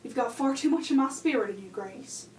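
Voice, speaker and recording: High-voiced, ailing but firm mother. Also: expressive, fairly quick, darkish tone. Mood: stern, heartfelt. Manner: tender yet stern, homespun. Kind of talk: holiday radio play acting. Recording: roomy, distant, faint background noise.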